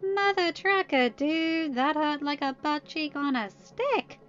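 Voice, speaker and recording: A cheeky, puppyish teenage boy, played by a woman reading aloud, pitched high and a bit goofy. He chatters playfully, with music underneath in a slightly muffled recording. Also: expressive, slow, a bit bright, heavily acted. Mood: groaning.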